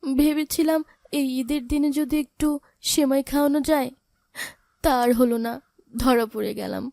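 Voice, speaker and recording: A woman plays an orphaned elder sister in a moral cartoon. Her voice is high, soft-hearted and sorrowful, with a pleading way of talking. The sound is very dry. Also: moderate pace, vocal fry, no echo. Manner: plaintive, pleading. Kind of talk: dramatic cartoon voice acting.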